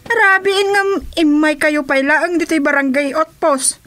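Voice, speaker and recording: A woman's voice: sits high, unhurried, fairly bright tone. A woman with an Ilocano accent playing a sheepish, apologetic village councilor with a hesitant manner in a radio comedy drama. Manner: hesitant, meek.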